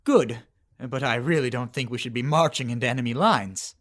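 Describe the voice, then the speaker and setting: Man playing a self-assured alien soldier, mid-pitched. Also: fairly quick, a bit bright, natural voice. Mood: composed, assured. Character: confident male alien soldier posing as humble aide. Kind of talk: amateur animation voice acting.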